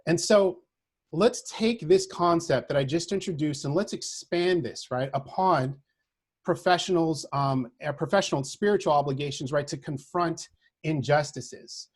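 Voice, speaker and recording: Husky-voiced man giving an earnest lecture. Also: on the high side. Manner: earnest, deliberate, often adds "right?" as a check. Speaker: social work professor. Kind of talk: prepared lecture to an audience.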